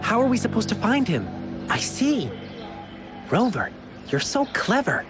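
A man playing an earnest, friendly young lion dancer in a video game, speaking in an expressive, reassuring way. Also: high-pitched, a little slow, full character voice. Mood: earnest, attentive. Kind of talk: video game character dialogue. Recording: game music behind the voice. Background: game music.

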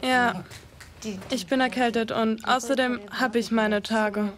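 A woman's voice: on the high side, steady tone, unhurried, a crowd in the background. A young woman voicing a documentary subject in a German dub, speaking plainly and matter-of-factly. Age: teens.

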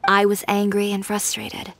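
A woman's voice: medium pitch, monotone, normal speed, noisy background, lightly acted. A woman playing a proud, blunt young fashion designer in a video game, self-assured and cutting. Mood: bitter recollection.

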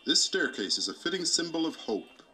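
A man's voice: a little high, sing-song, normal speed, sharp tone. A man voicing a pompous, easily flustered American president puppet with theatrical, grandiose delivery. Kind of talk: live puppet show parody oratory.

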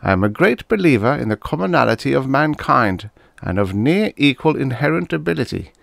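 Guy doing a boastful old politician in an audiobook, pompous n frosty, voice pushed higher. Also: very animated, gravelly, fairly bright tone, dry recording, clean studio sound, heavily acted. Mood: smug, frosty. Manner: pompous, formal, measured boasting. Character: seventy-year-old male politician, self-assured, boastful, frosty. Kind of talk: storybook character dialogue.

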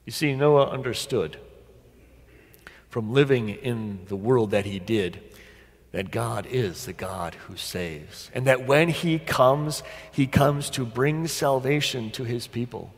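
A middle-aged man preaching a sermon as a pastor, deliberate and dramatic, with pauses and emphatic repetition. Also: mid-range voice. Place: large church sanctuary, at the pulpit. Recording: long church reverb.

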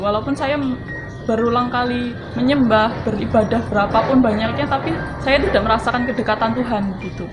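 A young woman giving a personal faith testimony in an earnest, halting way, with many fillers and restarts, recorded close on a camera mic. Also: fairly high pitch, rough recording. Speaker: testimony giver. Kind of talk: personal testimony, casual storytelling. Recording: voice over clear background music. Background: background music.

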